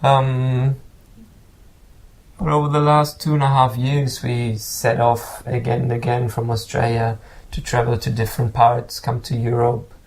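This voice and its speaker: A man, a guest musician who speaks haltingly and repeats words as he looks for them. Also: mid-range voice, little pitch movement, darkish tone, rough recording. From Germany. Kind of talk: casual interview talk.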